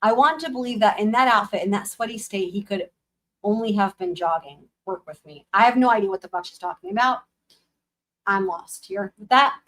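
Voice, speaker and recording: Hoarse young woman with sarcastic asides. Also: medium pitch, a little fast, a bit bright, poor audio. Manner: sarcastic, blunt asides with swearing. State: losing her voice, hoarse. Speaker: livestream host reading a book aloud. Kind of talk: live dramatic reading with commentary.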